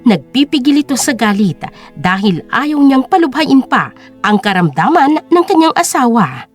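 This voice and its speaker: A woman's voice: sits high, very animated, moderate pace, soft background music. A woman with a Filipino accent narrating a scripted story in a dramatic style.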